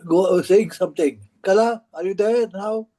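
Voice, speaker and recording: A man with a high-pitched yet soft, mellow voice moderates an informal discussion. He prompts speakers in short, encouraging phrases. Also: moderate pace. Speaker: host of book club discussion. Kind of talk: informal moderating of a group call.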